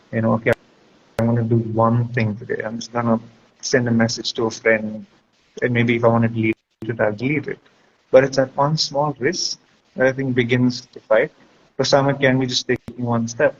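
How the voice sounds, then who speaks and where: Man, mid-pitched voice, reflective counselor, conversational advice, muffled audio with noise. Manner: reflective, explanatory, checks with "right?". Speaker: guest speaker, school counselor. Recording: dull lo-fi audio with clear background noise.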